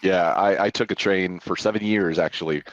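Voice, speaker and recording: A man's voice: low-pitched, steady tone, moderate pace, dry recording. A man hosting an interview show, upbeat and chatty. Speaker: podcast host. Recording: dry, dull lo-fi audio.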